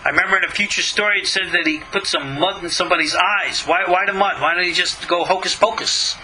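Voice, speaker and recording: A man's voice: high voice, fast, sharp tone, low-quality recording. A middle-aged or older man, a rabbi giving a religious lesson, reading a text and commenting on it in an emphatic, skeptical, questioning way.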